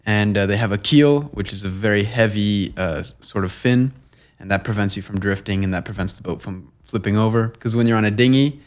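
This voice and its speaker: A young man from Long Island, guest on an interview show, talking in a measured, earnest way with fillers and restarts. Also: fairly low pitch. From Long Island, New York. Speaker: guest, nonprofit co-founder. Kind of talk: conversational interview.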